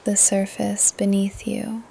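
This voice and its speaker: Very slow, hushed woman guiding meditation. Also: mid-range voice, background noise. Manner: soft, breathy, often trailing into whisper; calm, soothing, gentle pauses. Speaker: guided meditation teacher. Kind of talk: guided meditation, yoga nidra narration. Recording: ocean surf under the voice. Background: ocean waves ambience.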